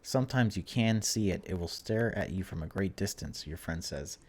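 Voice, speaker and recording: A man with a low voice plays through a game for viewers. He reads the story text out loud in a casual let's play style, breaking off to comment informally on what he reads. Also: lively intonation, moderate pace, husky. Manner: informal, reacts aloud between read passages. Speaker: gaming video host.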